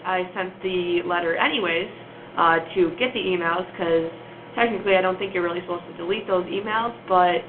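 A woman's voice: mid-range voice, narrow, tinny audio, a little hiss or hum. A young woman student reporter recounting her records request in a chatty, skeptical way. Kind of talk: round-table discussion.

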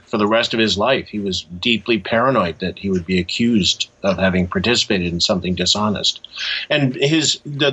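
A man speaking as an interview guest, telling a story conversationally in a thoughtful, halting way with pauses. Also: lowish voice, sharp tone, breathy edge, muffled recording.

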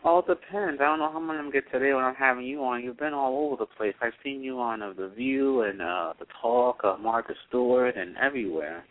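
A man hosts a casual radio interview, chatty and tripping over his words as he asks his questions. His voice is mid-pitched and comes through a thin, phone-like line. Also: brisk, hardly any pauses. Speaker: radio host.

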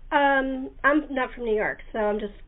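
Female interviewer for a casual talk show, higher-pitched, anecdotal delivery, narrow phone-grade sound. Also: moderate pace. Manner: chatty, informal, anecdotal. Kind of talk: casual radio interview chat.

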